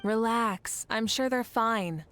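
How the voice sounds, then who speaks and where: An actress playing a calm, confident leader in a video game, composed and reassuring. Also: female voice, on the high side, slow pace. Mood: reassuring, amused. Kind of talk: English video game voice acting. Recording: game music behind the voice. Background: video game background music.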